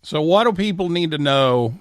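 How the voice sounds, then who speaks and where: A man's voice: fairly high pitch, lively intonation, normal speed, dry recording. A man hosting a live talk show, interviewing guests in a conversational way. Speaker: host.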